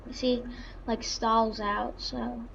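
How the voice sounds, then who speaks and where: A woman doing casual, halting gameplay roleplay commentary for videos, in an informal, unscripted way. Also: on the high side, little pitch movement, creaky, fairly bright tone. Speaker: gaming YouTuber. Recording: dull lo-fi mic, faint background noise. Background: vehicle engine sounds from the game.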